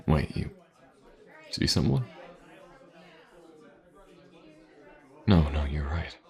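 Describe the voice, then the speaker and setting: Man playing very deep, gravelly fantasy mercenary. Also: darkish tone, exaggerated voice. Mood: watchful. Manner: dry, terse, confident. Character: gruff, wary, self-assured mercenary swordsman protecting a companion. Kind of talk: fantasy audio roleplay acting.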